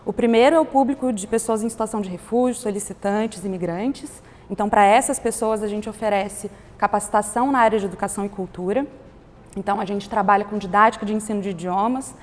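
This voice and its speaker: A woman's voice: mid-range voice, darkish tone. Articulate woman in a hall. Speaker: guest, director of a cultural organization. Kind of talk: panel talk. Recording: hall reverb.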